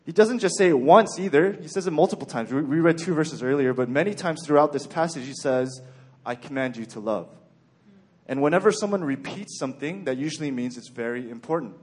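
A young man from Texas giving a sermon in a calm, even-keeled way with frequent ums and okays. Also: on the high side, brisk, slightly rough. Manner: even-keeled, plain-spoken, frequent "um" and "okay". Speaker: church speaker.

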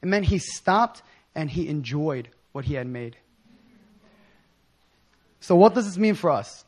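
A young man with a hoarse voice giving an informal sermon in a casual, slangy, excited manner. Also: fairly high pitch, a little slow. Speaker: preacher.

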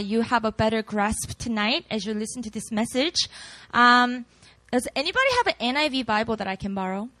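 A woman in her late twenties, a pastor with a Korean accent, chatting warmly and laughing at herself before reading scripture to a campus group. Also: on the high side, no room sound, poor audio. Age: late 20s. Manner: chatty, self-deprecating, laughs often.

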